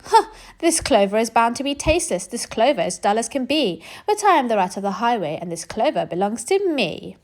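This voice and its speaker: A woman voicing a greedy, boastful male rat highwayman in a storybook, with a bullying sing-song chant. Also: sing-song, a little fast. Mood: blustering.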